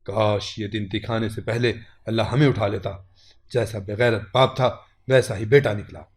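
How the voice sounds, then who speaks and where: A man voicing a stern, disappointed older uncle in an audio story, grave, reproachful and measured. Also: lowish voice, steady tone, voice pushed down, lightly acted. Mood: bitter disappointment.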